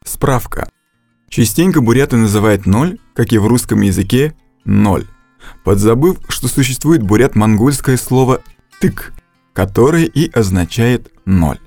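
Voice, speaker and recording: Slow, lively-pitched man narrating a lesson. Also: no echo, faint music behind. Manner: slow, deliberate instructional delivery. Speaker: language course narrator.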